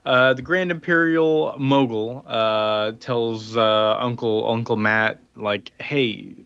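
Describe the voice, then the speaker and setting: A man's voice: mid-range voice, steady tone, takes it slowly, a bit bright, clear, resonant tone, no room sound, lo-fi sound. A man co-hosting a casual comic book podcast, recapping the story in a rambling way with lots of fillers. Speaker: podcast co-host.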